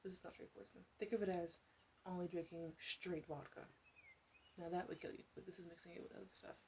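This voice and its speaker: Woman playing a mellow, teasing ASMR witch. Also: on the low side, fairly even intonation, fairly quick, a little hiss or hum, natural voice. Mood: reassuring, playful. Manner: soft, coaxing, playful teasing with murmured asides. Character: teasing, flirty witch in her three hundreds. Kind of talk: ASMR roleplay, speaking to the listener.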